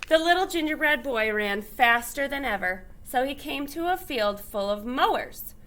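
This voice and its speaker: A woman's voice: sits high, lively intonation, normal speed, a bit bright. A woman reading a children's story aloud expressively, with emphatic stretched phrases and little explanatory asides. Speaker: storybook reader.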